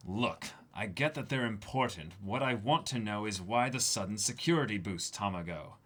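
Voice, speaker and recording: Brief: a cool, commanding woman officer voiced by a man; firm and snappy, with a lively pitch. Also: moderate pace. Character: commanding tall female pirate officer.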